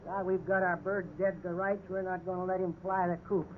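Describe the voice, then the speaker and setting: A man playing a veteran police officer from a 1950s radio crime drama, cocksure and sneeringly sarcastic. His voice is high for a man and he pushes everyone around. It comes through a thin, tinny old broadcast with clear noise. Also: flat delivery, a little fast, natural voice. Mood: mocking. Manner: sarcastic, domineering.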